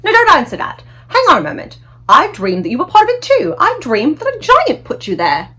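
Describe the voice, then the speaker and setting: A woman's voice: high voice, wildly swooping pitch, a little fast, pushed and bright, voice pushed up, exaggerated voice. A woman reading a storybook voices a regal, well-mannered queen, startled yet self-controlled, with precise exclamations. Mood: composed, questioning.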